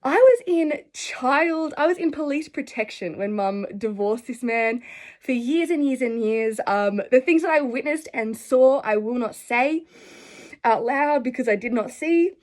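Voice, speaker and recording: A woman's voice: high-pitched, animated, unhurried, darkish tone. A young Australian woman telling personal stories to camera in an earnest, effusive, rambling way. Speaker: spiritual vlogger. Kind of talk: casual personal storytelling to camera.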